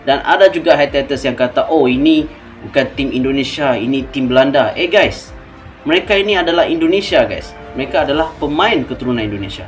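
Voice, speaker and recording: A man's voice: expressive, a little fast, faint music behind. Emphatic Malaysian man, bursts and asides. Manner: emphatic, switches between loud bursts and low trailing asides. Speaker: football reaction YouTuber. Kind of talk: casual chat to viewers.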